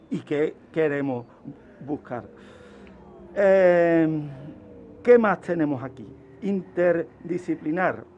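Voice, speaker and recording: A man's voice: fairly high pitch, a little mellow, dull audio, slight background noise. A man giving an explanatory radio talk as a criminologist, teaching by asking questions and answering them himself. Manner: didactic, asks and answers own questions. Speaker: criminologist presenting a radio segment.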